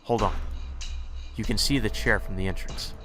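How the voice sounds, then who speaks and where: Low-pitched man reading a game aloud. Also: moderate pace, a bit bright, soft background music. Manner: stumbles over words and corrects himself while reading. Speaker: let's play host reading a visual novel aloud. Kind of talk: reading game text aloud with casual commentary.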